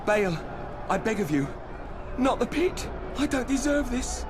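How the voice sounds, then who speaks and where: A man's voice: very high voice, big pitch swings, a little slow, crowd noise, noisy background, full character voice. An actor playing a weak, condemned tribesman in a video game, pleading desperately. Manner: desperate, begging. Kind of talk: dramatic video game acting.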